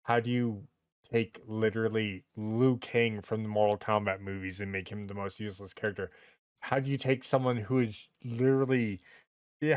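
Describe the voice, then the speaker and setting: A man talks in a loose, comedic podcast style at an average pitch. He leans into exasperated, sweary rants, and the sound is dry with little room to it. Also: no echo. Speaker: podcast host. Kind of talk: casual comedic podcast chat.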